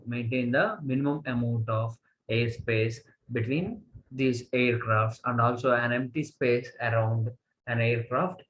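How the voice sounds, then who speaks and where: Indian guy lecturing, really slow n halting, repeats himself, bit of noise behind him. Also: medium pitch, fairly bright tone, poor audio. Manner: halting, repeats phrases for emphasis. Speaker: college lecturer. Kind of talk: slide lecture, reading and explaining.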